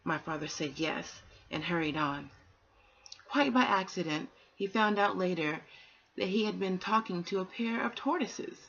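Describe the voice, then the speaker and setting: A woman's voice: on the low side, normal speed, fairly bright tone. A woman reading and hosting a children's bedtime story, with a nurturing, encouraging way of addressing young listeners. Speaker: bedtime story reader and host. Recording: dull, lo-fi home recording.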